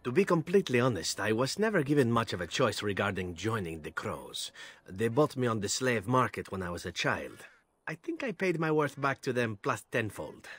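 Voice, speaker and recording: Husky, lively, sly elf assassin. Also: male voice, medium pitch, moderate pace. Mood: earnest, wry. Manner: smooth-talking, playful, formal phrasing. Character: suave, sly male elf assassin, candid and wry.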